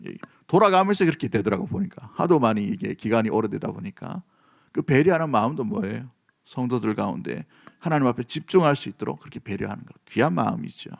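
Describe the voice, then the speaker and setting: A man's voice: medium pitch, expressive, quick pace, vocal fry. Emphatic Korean male preacher. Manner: emphatic, repeats key phrases, questions the congregation. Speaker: pastor preaching. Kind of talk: sermon.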